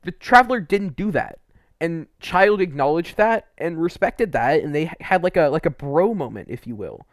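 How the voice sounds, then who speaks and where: Casual young man, slightly high-pitched, close dry sound. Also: expressive, normal speed, dry recording. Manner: informal, enthusiastic, mildly sweary. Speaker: video game reviewer. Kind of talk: casual unscripted opinion commentary.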